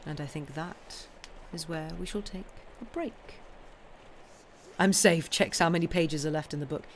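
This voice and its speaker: A woman's voice: low voice, measured pace. A woman reading a classic novel aloud on a live stream, with casual asides to listeners. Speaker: reader and streamer. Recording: clearly noisy live stream recording.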